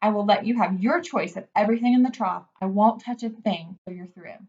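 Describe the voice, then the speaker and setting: A woman's voice: mid-range voice, fairly quick, a little mellow, lo-fi sound, close to an everyday voice. A woman voicing an earnest, desperate young male pig from a children's storybook, pleading and urgent. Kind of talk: children's storybook character reading.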